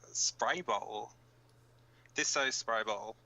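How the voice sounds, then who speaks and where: A man playing a flustered, blunt resistance fighter who co-hosts a radio broadcast in an amateur audio drama, speaking informally and haltingly. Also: fairly low pitch, creaky, bright, forceful tone, exaggerated voice, low-quality recording. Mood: pleasantly surprised. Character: flustered, sweary young male resistance fighter reading radio bulletins. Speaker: radio co-host in a fiction drama.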